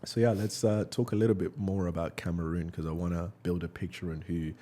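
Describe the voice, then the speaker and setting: A man's voice: deep voice, flat delivery, fairly quick, mellow, slight background noise. A man hosting a podcast, speaking in an encouraging, motivational way and often agreeing with short "yeah"s. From United Kingdom. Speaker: podcast host. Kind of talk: casual interview talk.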